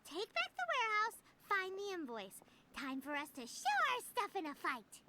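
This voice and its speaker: A woman voicing a tiny, cheeky, excitable fairy companion in a video game, with a squeaky childlike voice. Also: normal speed, heavy background noise, exaggerated voice. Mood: excited, bold. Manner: blunt, excitable, third-person childlike speech. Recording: studio voice over game music.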